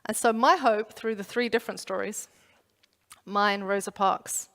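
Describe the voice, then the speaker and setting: A woman's voice: mid-range voice, unhurried. Woman preaching in a reverberant hall. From London. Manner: animated storytelling with acted-out inner voices. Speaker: pastor. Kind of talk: youth sermon. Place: church.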